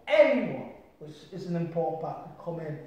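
High-voiced man, earnest, echoey room. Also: very animated, moderate pace, a little mellow. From Britain. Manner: earnest, repetitive, checks in with "right?" and "you understand me?". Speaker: interview guest, martial artist. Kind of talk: reflective conversational storytelling. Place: small room, sitting on a sofa. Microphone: distant camera mic. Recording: very echoey room, mic far off.

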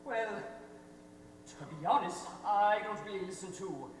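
A man's voice: sits high, unhurried. Teen actor as awkward young man in echoey hall. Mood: awkward, sheepish. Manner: hesitant, stammering. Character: awkward, honest young celebrity badger boy. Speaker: actor in a high school play. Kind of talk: live amateur stage acting. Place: theater stage filmed from afar. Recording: echoey hall, distant, noisy stage recording.

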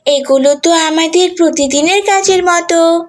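A woman's voice: very high voice, unhurried. A woman voicing a curious, agreeable little girl pupil in a children's cartoon.

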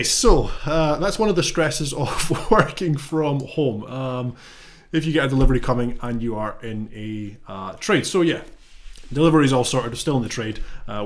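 Man, thirties, slight cold, relaxed thinking-aloud talk, home office webcam. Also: medium pitch. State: slight cold, losing his voice. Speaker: trading educator. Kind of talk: casual explanatory live-trade walkthrough.

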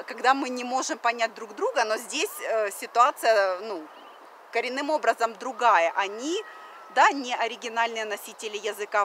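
A chatty woman from Russia in her thirties talks straight into a phone held at arm's length on an outdoor riverside deck. Her voice is bright and fairly high, with lots of fillers and English words slipped in. Faint outdoor noise sits behind her. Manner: chatty, many fillers, mixes in English phrases. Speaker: vlogger. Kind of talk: casual vlog to camera. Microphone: phone camera at arm's length.

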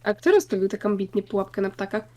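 A woman's voice: medium pitch, lively intonation, fast talker, dark tone. A woman talking casually with friends while playing a game together. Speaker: co-player in a multiplayer game. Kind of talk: casual gaming chat.

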